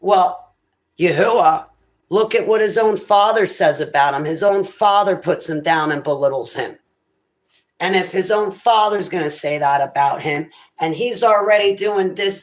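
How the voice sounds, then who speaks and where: A woman voicing Satan as a male accuser pleading his case before God, her voice raised and insistent. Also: low-pitched.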